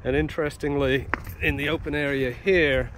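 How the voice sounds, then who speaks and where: Halting man narrating outdoors, clear background noise. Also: a little high, steady tone, a bit bright. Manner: halting, matter-of-fact, short phrases. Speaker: travel vlogger. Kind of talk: impromptu walking-tour commentary. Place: outdoors at open seaside ruins. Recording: outdoor phone recording with ambient noise.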